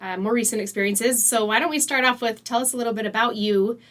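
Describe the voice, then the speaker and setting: A woman's voice: a little high, fast, fairly bright tone. A woman in her forties hosting a faith podcast, chatting in a friendly, encouraging way with many small fillers. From United States. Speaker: podcast host. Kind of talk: casual interview chat.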